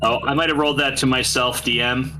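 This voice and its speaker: Guy playing a tabletop game, mid voice, casual chat, music pretty loud behind. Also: medium pitch, fairly even intonation, fast, rough recording. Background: loud background music.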